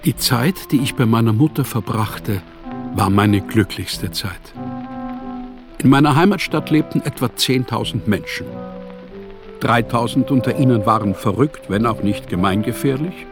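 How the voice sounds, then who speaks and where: An actor playing a wry, proud, bitter writer recalling his life in a literary radio play, speaking in measured, formal phrases. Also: mid-range voice, music in the background, close to an everyday voice. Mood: wistful.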